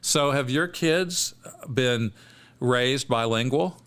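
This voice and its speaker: A man's voice: medium pitch, slow, fairly bright tone, clear, resonant tone. A man, hosting an interview in an eager, affirming manner. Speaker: podcast host.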